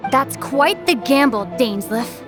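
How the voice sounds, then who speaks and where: A woman's voice: sits high, slow pace, music in the background. A woman playing a poised, formidable young leader in a video game, formal, composed and resolute. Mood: cool, wary.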